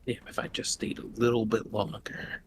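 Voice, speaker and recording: A man's voice: lowish voice, monotone, moderate pace. A man casually chatting as a player during a tabletop game. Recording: music clearly playing behind the voice.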